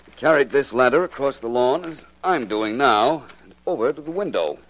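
An actor playing a confident, clever detective in a 1940s radio drama, with an assured, explanatory manner. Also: male voice, mid-range voice, expressive, normal speed, fairly bright tone, poor audio. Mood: confident, explaining.

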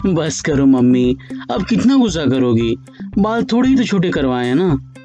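A breezy young woman with a light, teasing, coaxing manner, as a male narrator puts her on. Her voice sits fairly high and easy. Gentle music plays underneath. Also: very animated, moderate pace, highs cut off.

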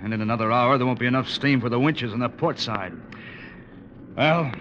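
Man acting grave low-voiced ship's officer, old radio. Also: a little hiss or hum, lightly acted. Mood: urgent command. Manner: dramatic, deliberate storytelling. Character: grave, weary ship's officer recounting a disaster at sea. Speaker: radio drama lead actor and narrator. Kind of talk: 1940s-50s radio adventure drama acting. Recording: dull vintage radio recording, music behind.

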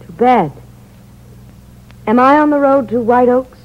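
An actress as a frank young radio-thriller heroine, muffled. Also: female voice, a little high, unhurried, lightly acted. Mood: brisk, casual. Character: young woman, frank, bold and suspicious. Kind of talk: 1940s radio thriller acting. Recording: dull old radio recording.

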